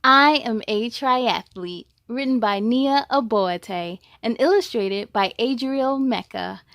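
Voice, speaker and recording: A woman's voice: a little high, slow, a bit bright, no echo. A woman hosting a children's read-aloud, enthusiastic and speaking straight to young listeners, with storybook reading and chatty asides.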